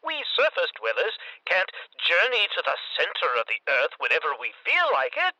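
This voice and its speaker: An actor playing a pompous, excitable conspiracy theorist calling in to a comedy radio drama, with theatrical, grandiose indignation. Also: male voice, high voice, normal speed, dry recording, highs cut off, exaggerated voice. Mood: scornful, dramatic. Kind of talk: comedic audio drama acting.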